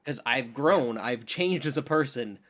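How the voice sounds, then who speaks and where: A man's voice: mid-range voice, expressive, unhurried, a bit bright. Male podcast co-host, everyday chatty delivery, conversational and opinionated. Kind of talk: casual podcast chat.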